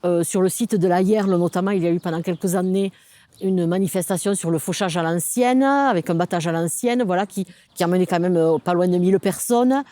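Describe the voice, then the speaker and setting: A woman's voice: fairly low pitch, fairly quick, dark tone. A woman speaking as a local government project officer in an explanatory interview, feeling her way through phrases with some repetition.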